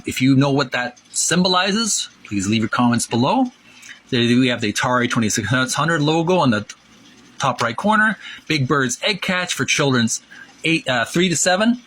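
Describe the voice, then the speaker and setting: A man hosting informal video commentary, halting as he goes and drawing out key words for emphasis. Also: medium pitch, sharp tone. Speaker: host of a retro gaming channel. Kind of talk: informal video commentary with read-aloud passages.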